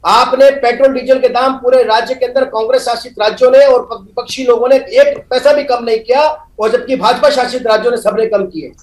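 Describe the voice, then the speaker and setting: A man's voice: very high-pitched, fairly even intonation, fairly quick, hardly any pauses. A man speaking as a party spokesman in a live political debate, combative and accusatory, with rhetorical jabs. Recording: echoey room sound.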